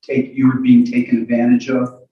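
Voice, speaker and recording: A man working as a lawyer, putting questions to a witness in a halting, apologetic way. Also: medium pitch, completely flat delivery, dull audio. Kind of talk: courtroom cross-examination. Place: indoor courtroom. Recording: echoey courtroom, distant mic.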